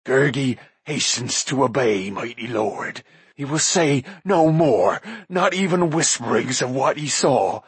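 A man voicing a groveling, whimpering shaggy male forest creature with a high, slow, whining voice. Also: husky, dry recording. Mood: groveling whine.

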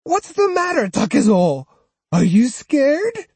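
A man reading an audiobook, voicing a spiteful, shrill old woman who taunts and scolds. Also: very animated, slow pace, darkish tone, husky, no echo, heavily acted. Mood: jeering. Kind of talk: audiobook character voice.

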